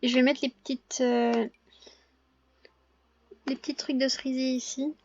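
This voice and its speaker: A young woman with a high voice, hoarse from a cold, talks through her gameplay in a casual, halting way. She pauses often and corrects herself. The recording is close and dry, with a slightly muffled, lo-fi sound. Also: little pitch movement, no echo. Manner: hesitant, many filler pauses and self-corrections. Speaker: gaming vlogger.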